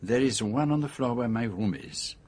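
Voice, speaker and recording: An actor playing a courteous, self-assured male detective in a radio drama, speaking formally and deliberately in precise phrases. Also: medium pitch, animated, a little mellow, natural voice. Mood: courteous, measured.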